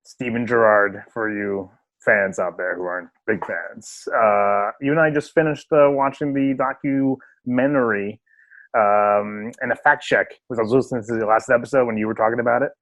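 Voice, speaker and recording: A man's voice: on the low side, normal speed, fairly bright tone, no room sound. A man hosting a podcast remotely, chatting and joking with lots of fillers. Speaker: podcast host. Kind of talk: casual chat. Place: remote home setup.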